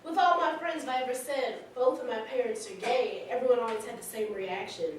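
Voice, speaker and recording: In a very echoey room, far from the mic, a woman plays a frank, affectionate grown daughter. Her voice is high and lively as she chats through family memories in a staged reading, amused and candid. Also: brisk, close to an everyday voice. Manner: chatty, animated storytelling, often laughing. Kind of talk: staged reading, conversational monologue and dialogue. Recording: very echoey hall, distant mic, faint noise.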